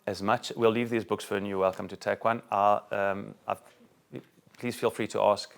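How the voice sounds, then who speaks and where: A middle-aged South African-born rabbi giving a talk to a group and taking questions, joking and animated, with many restarts. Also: male voice, fairly low pitch, normal speed, gravelly. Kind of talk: talk with audience Q&A. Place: room with a live audience.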